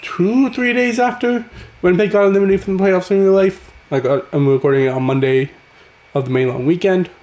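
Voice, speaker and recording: Raspy man calling a hockey game. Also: high-pitched, brisk, echoey room. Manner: excitable, calls the action as it happens. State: raspy voice from a cold. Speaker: gaming channel host. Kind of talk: casual play-by-play commentary over a hockey video game.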